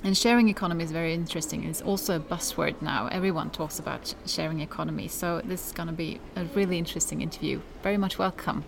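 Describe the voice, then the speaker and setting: A woman's voice: low-pitched, fairly even intonation, fast, creaky, few pauses. A woman hosting a conversational podcast interview in English. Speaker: podcast host interviewing a guest. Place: conference center. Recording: background noise at conference venue. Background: ambient noise, distant sound check.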